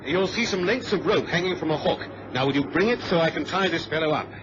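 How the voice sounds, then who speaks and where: A man playing a brisk, commanding airline co-pilot in a radio drama, on a muffled, noisy recording. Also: on the high side, animated, natural voice. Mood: urgent. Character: brisk, cocky male airline co-pilot, ex-paratrooper. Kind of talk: vintage-style radio drama acting.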